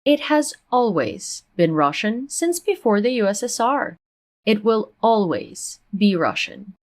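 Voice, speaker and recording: Woman host reading out comments, kinda high voice that jumps around a lot, wry. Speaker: interview host. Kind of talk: reading viewer comments aloud.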